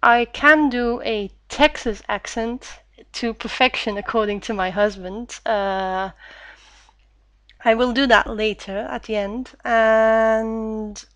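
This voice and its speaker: Woman, slightly high voice. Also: a bit bright, no echo. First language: Dutch. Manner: hesitant, frequent "uh" fillers, stumbles when reading. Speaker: vlogger. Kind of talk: casual Q&A with read-aloud passage.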